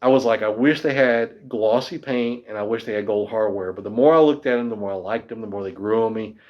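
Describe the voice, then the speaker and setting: A man's voice: on the low side, fairly quick, muffled recording. A man in his fifties talking casually to camera about guitars, chatty and rambling with a self-deprecating streak. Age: 50s. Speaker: guitar teacher.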